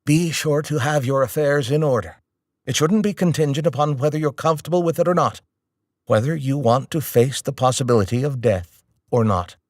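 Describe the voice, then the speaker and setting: A man's voice: mid-range voice, a little fast, darkish tone, dry recording, studio quality, pitched above the performer's own voice, close to an everyday voice. A man voicing an earnest army chaplain giving urgent, insistent advice.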